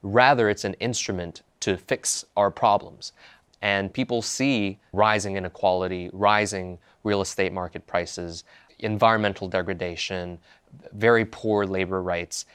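A young man from Hong Kong, a university student, answering interview questions in a measured, explanatory way and laying out his points one by one. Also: sits low, steady tone, a bit bright, smooth. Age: young adult. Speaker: activist guest.

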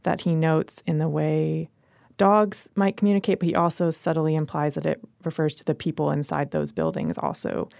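Woman w low fry-heavy voice, analyzing writing, measured, dry studio sound. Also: animated, a little mellow, dry recording. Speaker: poetry magazine editor, podcast panelist. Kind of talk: analytical literary discussion.

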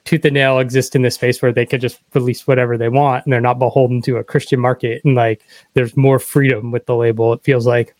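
A man's voice: medium pitch, little pitch movement, brisk, a little mellow, no echo. A man hosting a podcast interview in a casual, informal way, thinking aloud in loose phrasing.

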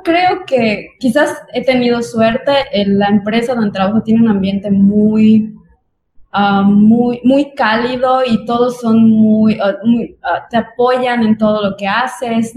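A woman from Mexico's Yucatán, speaking with the region's accent, chats about work in a slightly high voice. She pauses often and fills the gaps while she looks for words. The sound carries an echoey room around her. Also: a little mellow. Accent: Yucatán Mexican Spanish. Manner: thoughtful, hesitant, with many fillers. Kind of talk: casual chat.

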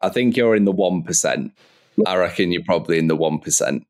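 Very deep-voiced, encouraging male host. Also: a little fast. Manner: relaxed, encouraging interviewer. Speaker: podcast host. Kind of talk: casual interview talk.

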